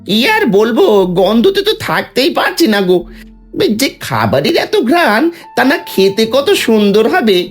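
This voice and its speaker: A woman's voice: on the high side, big pitch swings, moderate pace. A woman voicing a hearty, food-loving village diner in a cartoon dub. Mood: eager. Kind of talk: Bengali cartoon dubbing. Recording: music behind the voice.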